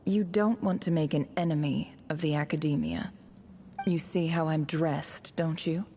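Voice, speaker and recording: An actress playing a smug, scheming ambassador in a video game, speaking formally with condescending, silky menace. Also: female voice, deep voice, animated, normal speed, dark tone, slight background noise. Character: smug, scheming woman posing as a haughty ambassador. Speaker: game voice actor. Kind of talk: dramatic video game voice acting. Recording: music under the voice. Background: game music.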